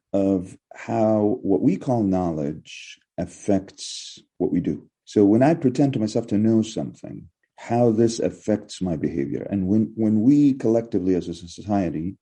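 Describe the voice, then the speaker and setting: Give me a middle-aged man from Egypt, Arabic, speaking English in a low, husky voice. His answers are measured and probing, with frequent restarts as he thinks aloud. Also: dark tone. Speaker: professor, guest. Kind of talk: reflective interview answers.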